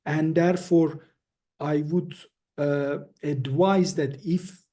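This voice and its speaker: A man's voice: fairly high pitch, fairly even intonation, slow pace, warm tone. A man answering questions as an expert guest in a webinar, explaining carefully and hesitantly, often restarting phrases, heard over a video call.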